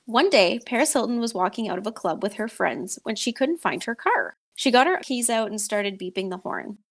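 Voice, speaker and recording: A woman's voice: medium pitch, animated, normal speed, fairly bright tone. A woman podcast host, talking and reading a story aloud in a dramatic way.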